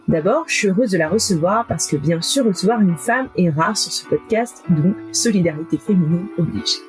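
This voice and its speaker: A woman's voice: fairly low pitch, expressive, moderate pace, faint music behind, low-quality recording. A woman hosting a podcast, upbeat and friendly as she introduces her guest and chats with her. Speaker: podcast host. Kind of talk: podcast hosting, scripted intro then casual chat.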